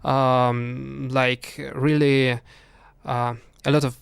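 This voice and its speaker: A man from Russia, co-hosting a casual podcast chat and pausing often on fillers and restarts. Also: mid-range voice, little pitch movement, creaky, smooth, no echo. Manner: halting, many fillers and restarts. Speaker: podcast co-host.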